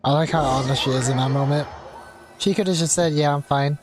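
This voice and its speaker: A man's voice: fairly high pitch, fairly even intonation, brisk. A young man commenting casually on his gameplay as a streamer, chatty and rambling. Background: faint game music.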